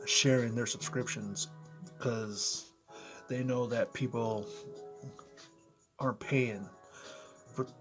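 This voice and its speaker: A man with a slightly hoarse, froggy voice talks casually into a close camera in his apartment. His pitch sits in the middle range, and he keeps checking in with the viewer. Faint music plays underneath. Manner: conversational, talks straight to viewers, frequent "you know" and "right?". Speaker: motivational vlogger. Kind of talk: casual explainer vlog. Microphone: close to camera, in front of computer.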